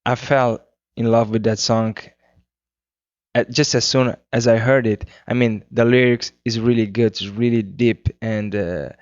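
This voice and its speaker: A Cape Verdean man whose first language is Creole, answering interview questions in English with unhurried speech, searching for words. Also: medium pitch, fairly even intonation, no room sound. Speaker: guest singer-songwriter. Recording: muffled 2009 radio interview.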